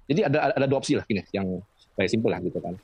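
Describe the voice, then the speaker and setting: A man's voice: on the low side, lively intonation, very fast, darkish tone, breathy edge, dry recording, muffled recording. A man in an online debate answering a question hesitantly, with many fillers.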